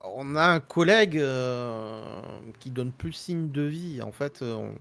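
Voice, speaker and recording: A man's voice: mid-range voice, animated, slow pace, fairly bright tone. A man voicing a hesitant young male gamer who stammers as he explains himself. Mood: awkward. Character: young Australian male gamer traveler, awkward.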